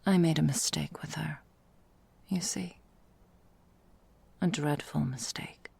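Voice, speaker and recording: A woman playing an ancient, elegant vampire mistress in an ASMR roleplay, speaking formally and deliberately in a breathy, gravelly, whispery voice. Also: low voice, fairly even intonation, a little mellow, exaggerated voice. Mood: regretful, hushed. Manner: slow, formal, theatrical, deliberate.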